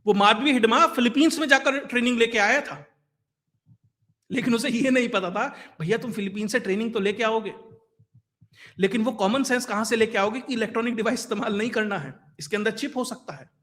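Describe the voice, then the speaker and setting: Guy doing explainer news commentary, really high voice, sharp and punchy, chatty but emphatic. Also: fairly quick. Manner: emphatic, rhetorical questions and lists. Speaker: commentator and host of an online current affairs show.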